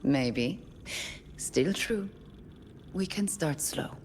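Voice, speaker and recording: A woman playing a composed, probing therapist in a space colony for a game audio log, speaking in a calm, clinical, measured way. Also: deep voice, long reverb tail, a little hiss or hum, full character voice. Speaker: doctor, therapist.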